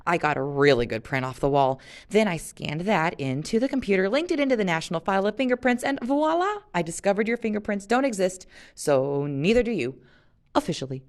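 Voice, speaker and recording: A woman's voice: medium pitch, lightly acted. A woman voicing a chatty, flippant young girl in an audiobook-style reading, confident and bouncy. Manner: bouncy, emphatic, rattles from topic to topic.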